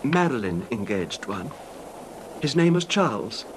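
An actor playing a fragile, nervous, genteel husband with a hesitant, mannered delivery in a radio drama. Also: male voice, mid-range voice, big pitch swings, unhurried. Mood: brooding, genteel. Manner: hesitant, stammering, mannered, formal. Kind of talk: old time radio drama acting. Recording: noisy old radio drama recording.

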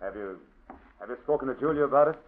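A man voicing a cornered lover in an old radio drama, nervous and pleading, with a mid-range voice that swings in pitch. The recording is tinny. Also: animated, quick pace, close to an everyday voice. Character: pleading male lover caught out. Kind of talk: 1940s radio acting.

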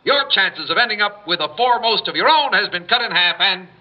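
A breezy male disc jockey in an old radio play. His voice is pitched high, with chatty, teasing patter. The sound is slightly muffled, like an old broadcast. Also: animated, brisk. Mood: breezy, mock-mournful. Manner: chatty, teasing address to teenage girls.

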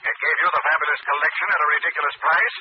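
An actor playing a confident, stern crime-fighting avenger in an old radio drama, deliberate and mockingly dramatic. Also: male voice, on the high side, fairly even intonation, lightly acted. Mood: accusing, triumphant. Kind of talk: 1940s radio drama acting. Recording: muffled, noisy old radio recording.

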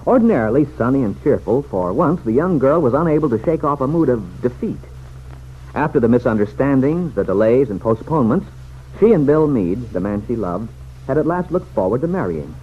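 A man's voice: medium pitch, sing-song, a little fast. A man narrating a scripted vintage radio serial in a formal, dramatic storytelling manner. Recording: dull, hissy vintage radio recording.

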